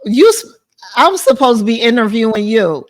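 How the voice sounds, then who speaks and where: A woman's voice: on the high side, big pitch swings, moderate pace. A woman with an American accent hosting a casual interview, chatty and informal with a joking touch. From Flint, Michigan, USA. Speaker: show host, interviewer.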